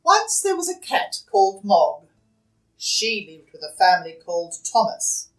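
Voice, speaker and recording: A woman reading a children's picture book aloud as a storyteller, expressively, with dramatic shifts between hushed and loud delivery. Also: medium pitch, huge pitch swings, a little slow, distant mic. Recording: roomy echo, away from the mic.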